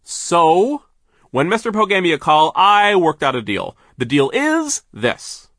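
A man voicing a domineering, stingy mill boss for an audiobook, blustering and pompous, with drawn-out, emphatic words. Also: on the high side, very animated, no room sound, studio quality, pitched above the performer's own voice, full character voice. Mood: blustering, self-satisfied. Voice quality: forceful, drawn-out emphatic delivery. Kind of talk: audiobook character voice.

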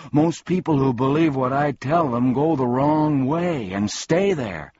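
Man putting on a high, boastful little demon. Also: unhurried, dull audio, lightly acted. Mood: whimpering, sulky. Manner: gleeful, boastful, dramatic. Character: small furry insincere demon posing as boastful frightening monster. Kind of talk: storybook character voice.

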